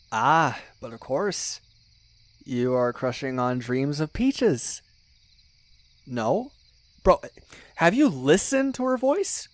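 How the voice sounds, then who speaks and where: A man's voice: a little high, no room sound. A young man playing a teasing, loyal best friend in a one-sided casual roleplay, chatty and slangy with little hesitations. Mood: animated, incredulous.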